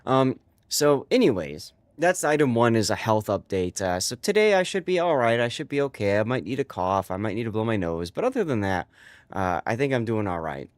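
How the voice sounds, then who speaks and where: A man's voice: mid-range voice, very animated, brisk, no room sound. A man with a stuffy, congested voice, hosting a live stream and chatting casually with his viewers, rambling with many fillers.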